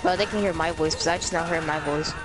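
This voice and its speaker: A man's voice: fairly high pitch, fairly even intonation, fast, background noise. A man streaming a video game live, chatting casually and teasing a friend who joins him. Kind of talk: casual live gameplay chat.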